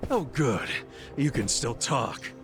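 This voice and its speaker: A man's voice: medium pitch, big pitch swings, takes it slowly, over loud music. An actor playing a blunt, hot-headed but loyal tough guy in an English video game dub, speaking emphatically. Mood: defiant.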